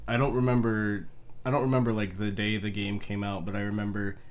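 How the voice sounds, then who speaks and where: A young man co-hosting a podcast, telling a story in an animated way and quoting himself. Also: lowish voice, brisk. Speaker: podcast co-host. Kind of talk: casual chat.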